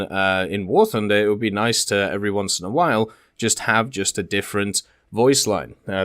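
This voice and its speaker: A man's voice: lowish voice, fairly bright tone, dry recording, studio quality. A man hosting a gaming channel, giving casual, conversational and opinionated commentary as he reads and discusses questions and answers. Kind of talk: casual video commentary, reading and discussing developer Q&A.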